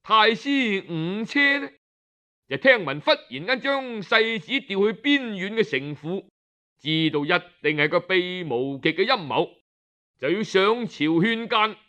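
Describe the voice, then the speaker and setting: A man with a Cantonese accent telling a story in a traditional oral style, colloquial and expressive, with drawn-out particles. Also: sits high.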